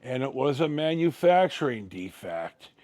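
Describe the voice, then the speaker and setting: An American man in his sixties telling a personal story casually, in a halting, colloquial, conversational way. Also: medium pitch, very animated, darkish tone. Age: 60s. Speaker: storyteller, vlog host.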